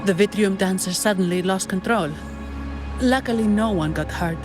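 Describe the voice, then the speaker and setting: A woman's voice: medium pitch, moderate pace, over music, natural voice. A woman voicing a polite, informative young guide in a video game, explaining things courteously. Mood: concerned. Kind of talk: game voice acting.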